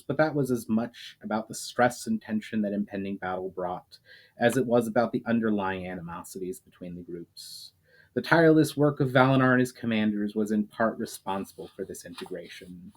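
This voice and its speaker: A man's voice: fairly low pitch, rough recording. A man, an aspiring author, reading a chapter of his own fantasy novel aloud after a hesitant introduction full of fillers. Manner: hesitant intro with many fillers, steady storytelling read with small restarts. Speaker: self-published author.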